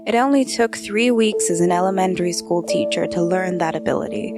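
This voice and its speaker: A woman reading a scripted audio drama as narrator, measured and storytelling. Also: fairly low pitch. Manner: measured storytelling, shifting into character voices. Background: background music.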